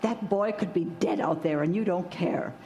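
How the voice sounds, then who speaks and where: An actress playing a frightened, long-suffering middle-aged wife in old-time radio horror melodrama, emotional and pleading. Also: female voice, on the low side, normal speed, dark tone, close to an everyday voice. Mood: bitter, furious. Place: live stage before an audience. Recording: noisy live stage recording.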